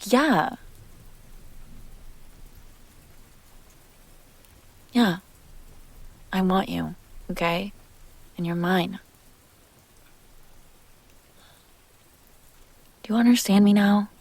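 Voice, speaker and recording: A woman playing a jealous, possessive and fiercely protective young woman in an intimate roleplay audio drama, speaking close to the mic in emphatic, halting bursts. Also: mid-range voice, a little mellow, dry recording. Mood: tender, confessing. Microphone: close microphone, dry sound.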